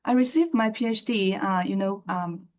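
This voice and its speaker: A woman's voice: mid-range voice, lively intonation, moderate pace, a little mellow. A woman academic on a panel, speaking hesitantly with many fillers. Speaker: panelist, research assistant professor. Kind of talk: panel self-introduction.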